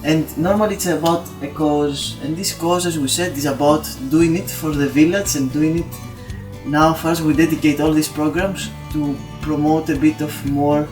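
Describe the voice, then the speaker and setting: A man's voice: on the high side, steady tone, moderate pace. A man explaining the purpose of a group volunteering project, loosely phrased. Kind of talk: documentary interview. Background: loud guitar music.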